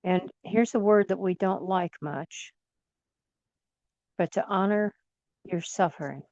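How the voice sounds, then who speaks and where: A woman leading guided imagery in a soothing, gentle manner, leaving long pauses between phrases. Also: lowish voice, dry recording, highs cut off. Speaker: guided meditation leader.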